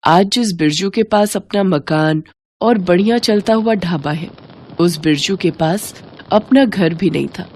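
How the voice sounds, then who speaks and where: A woman's voice: on the low side, a little slow, darkish tone. A woman narrating a scripted moral story, with slight noise in the recording.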